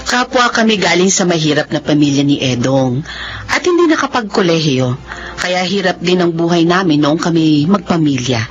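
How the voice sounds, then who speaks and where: A woman's voice: fairly low pitch, very animated, normal speed, soft background music. A woman voicing a cheerful mother who sings at wakes, upbeat and reassuring. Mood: calm. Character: cheerful mother Laura, a wake singer. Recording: dull lo-fi audio.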